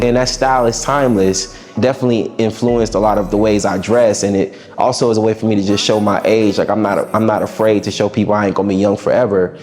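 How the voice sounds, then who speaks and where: A young adult man with a raspy voice, a musician guest answering interview questions in a laid-back, reflective way with casual slang. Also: fairly low pitch, a little mellow.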